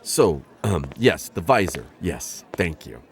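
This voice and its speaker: A flustered insectoid bounty hunter. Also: male voice, deep voice, big pitch swings, slow, creaky, a little hiss or hum, exaggerated voice. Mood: earnest. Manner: formal, flustered, rambling and overexplaining. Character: awkward insectoid male alien bounty hunter. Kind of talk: ASMR roleplay audio drama.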